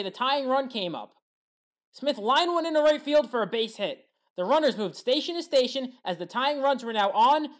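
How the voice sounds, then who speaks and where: Sports recap narrator: woman with a fairly high voice, reading scripted play-by-play. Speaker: sports highlight commentator. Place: voice-over recorded apart from the game.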